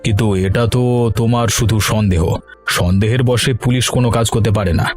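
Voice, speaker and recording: A man's voice: lowish voice, fast talker, faint music behind, close to an everyday voice. A man voicing a curt, official village policeman in a cartoon. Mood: reassuring. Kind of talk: cartoon voice acting.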